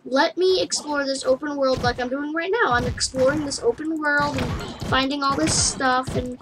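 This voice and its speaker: A woman casually narrating her play of a game for viewers, rambling and chatty, with giggles and excited yelps. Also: high-pitched, background noise. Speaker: gaming YouTuber. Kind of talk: casual let's play commentary. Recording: game music under the voice.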